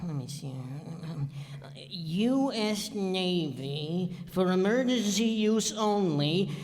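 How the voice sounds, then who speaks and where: A woman playing a stately, dithery carrot ruler in a radio comedy, halting and formal. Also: fairly low pitch, expressive, a little mellow. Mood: politely cautious. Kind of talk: old-time radio comedy acting. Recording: reverberant hall stage recording.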